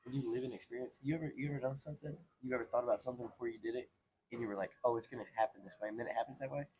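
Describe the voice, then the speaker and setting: A man's voice: medium pitch, steady tone, very fast, a little mellow, a little hiss or hum, low-quality recording. A man in his twenties telling personal stories in casual, slangy talk, earnest and repeating phrases for emphasis. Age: 20s. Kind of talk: casual conversational storytelling.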